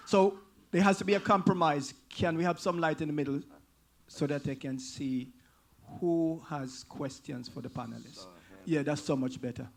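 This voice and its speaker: A man with a gravelly, fry-touched voice runs a live museum panel, brisk and polite. Faint noise sits under his mic. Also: mid-range voice. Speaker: moderator. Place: museum theater before an audience.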